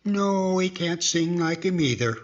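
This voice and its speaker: A man's voice: sits high, lively intonation, a little slow, highs cut off. A man playing an eager, earnest ventriloquist auditioning in a 1940s-style radio comedy.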